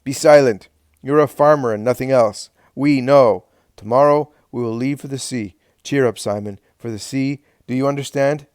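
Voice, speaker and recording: A man's voice: medium pitch, normal speed, hardly any pauses, darkish tone, clear, resonant tone, no room sound, clean studio sound, voice pushed up, close to an everyday voice. A man voicing a stern, domineering head of a household, who gives orders and rebukes in a curt, commanding way. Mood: angry rebuke. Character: stern, domineering older male clan head.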